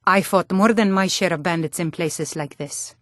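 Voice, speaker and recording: A woman's voice: fairly low pitch, moderate pace, dry recording, studio quality, lightly acted. An actress playing a tough, blunt Nordic warrior woman in a fantasy video game, speaking firmly with a Nordic accent. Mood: grim. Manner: firm, blunt.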